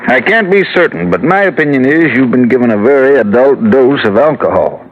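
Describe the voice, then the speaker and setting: An actor playing a kindly old doctor in an old radio drama, solicitous and measured. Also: male voice, mid-range voice, animated, lightly acted. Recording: dull, noisy old radio recording.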